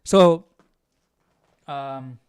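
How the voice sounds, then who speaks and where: A man's voice: medium pitch, drawn-out words, darkish tone, smooth, no room sound, studio quality. A man hosting a casual Bible study, reading aloud and explaining in an informal way, stumbling and correcting himself. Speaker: Bible study livestream host.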